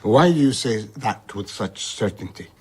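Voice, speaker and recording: An actor playing an idealistic older traveller and philosopher in an audio drama, speaking in a measured, reflective way. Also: male voice, lowish voice, animated, a little mellow, breathy edge. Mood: earnest. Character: worldly old male traveller-philosopher, idealistic and earnest.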